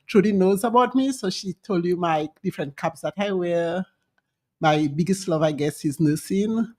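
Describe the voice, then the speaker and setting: A Dominican woman in her sixties, a longtime nurse, talking with warmth and chatty asides as a guest on a radio interview at a studio microphone. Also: on the low side, moderate pace. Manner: warm, chatty, with laughter and asides. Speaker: nurse and home health provider, guest.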